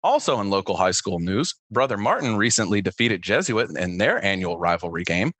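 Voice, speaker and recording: Animated man reading satirical sports headlines. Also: lowish voice, fairly quick, no room sound. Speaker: radio sports host.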